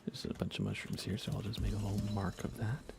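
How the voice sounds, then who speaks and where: Hushed low-voiced man, ASMR gaming streamer. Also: fast talker, creaky, background noise. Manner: relaxed, hushed, often slipping into whispers. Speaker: livestreamer and host. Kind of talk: soft-spoken ASMR gaming livestream chat.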